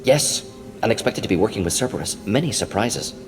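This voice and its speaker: A man performs an aging alien scientist for a video game, brisk and clinical. His pitch is lowish but swings widely through clipped, article-free phrases. The voice rings with long reverb over clear background music. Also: expressive, noisy background, lightly acted. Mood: businesslike. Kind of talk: video game character dialogue. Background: game soundtrack music.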